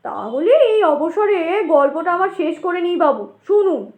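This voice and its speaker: Woman doing an eager, high-voiced talkative servant. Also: lively intonation, unhurried, reverberant, phone-quality sound. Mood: eager, confiding. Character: talkative, deferential servant fond of ghost tales.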